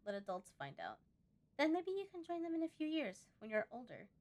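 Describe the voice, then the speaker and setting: A woman's voice: high voice, huge pitch swings, fairly quick, a little mellow, voice pushed up. A woman voicing a strong, firm farmer mother talking to her child in a visual novel game. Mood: stern.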